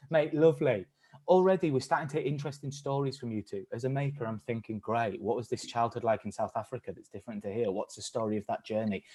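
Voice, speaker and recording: A man's voice: mid-range voice, dark tone, slightly rough. A man leading an online acting workshop, encouraging and upbeat as he coaches the participants. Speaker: workshop leader. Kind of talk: live-streamed acting workshop.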